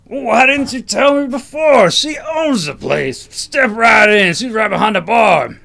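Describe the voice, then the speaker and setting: A man voicing a gruff, barking biker in a hammy comedy-script reading. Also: sits high, animated, a little slow, pushed and bright, pitched above the performer's own voice, heavily acted. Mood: loud, excited. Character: gruff, loud male biker guarding a bar.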